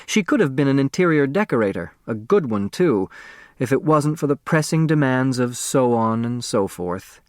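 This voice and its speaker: An American man narrates a story in a medium-pitched voice. His delivery is expressive and precise, and the recording is close and dry, as in a studio. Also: normal speed, no room sound. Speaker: audiobook narrator.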